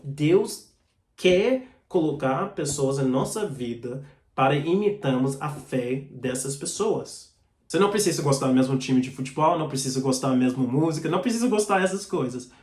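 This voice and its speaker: Earnest preacher with a foreign accent, echoey room. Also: male voice, fairly high pitch, a little mellow. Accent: foreign accent in Portuguese. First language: not Portuguese. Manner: earnest, direct teaching, reading out verses. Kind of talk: sermon.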